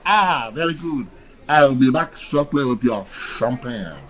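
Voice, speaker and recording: An actor in a radio mystery playing a courteous, formal waiter. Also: male voice, medium pitch, animated, a little slow. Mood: obliging. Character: obliging French male waiter, courteous and formal. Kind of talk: radio mystery drama acting.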